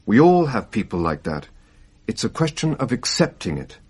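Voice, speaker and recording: An actor playing a pragmatic family doctor in a radio drama, consoling in a brisk, matter-of-fact way. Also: male voice, medium pitch, very animated, a little mellow, breathy edge.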